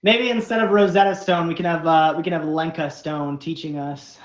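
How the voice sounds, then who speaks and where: A podcast host asking questions in a relaxed, chatty way, full of fillers. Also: medium pitch, moderate pace. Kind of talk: casual interview chat. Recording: roomy, dull lo-fi audio.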